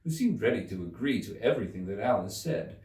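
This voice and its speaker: Low-pitched, animated male storybook narrator. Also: a little fast. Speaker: audiobook narrator. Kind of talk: expressive scripted storybook narration. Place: room inside a museum. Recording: roomy, echoey, distant from the mic.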